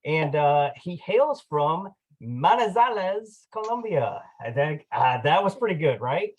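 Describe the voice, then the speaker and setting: A man's voice: fairly high pitch, big pitch swings, measured pace. A man hosting a casual interview, chatty and upbeat, with many filler words. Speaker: interview host.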